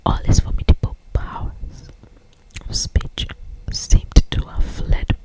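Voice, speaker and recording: Slow, breathy ASMR whisperer. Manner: slow, lingering phrasing with repeated words and asides. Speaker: ASMR creator reading aloud. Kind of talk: ASMR whispered romance novel reading.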